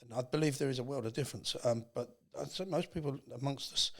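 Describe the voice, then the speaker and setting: Man, sixties, medium pitch, casual conversation, plain-spoken, emphatic, podcast guest. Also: fast talker. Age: 60s. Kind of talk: casual chat.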